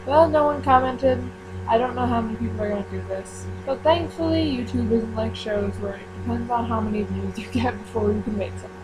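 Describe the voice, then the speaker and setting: A woman chatting casually to her viewers as she runs a book club, rambling with frequent ums and quick self-corrections. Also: fairly high pitch, very noisy. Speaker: YouTuber running a book club. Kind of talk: casual chat to camera.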